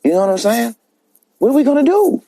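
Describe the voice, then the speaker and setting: A man's voice: extremely high pitch, very animated, fast, creaky, dark tone, no room sound. A man calling in, emphatic and preachy, who says "man" often. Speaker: caller. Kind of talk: casual opinion rant.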